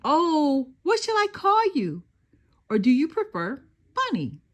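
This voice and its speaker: A woman voicing an excited, affectionate little girl in a children's storybook, sweet and eager. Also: high voice, slow pace, voice pushed up, heavily acted.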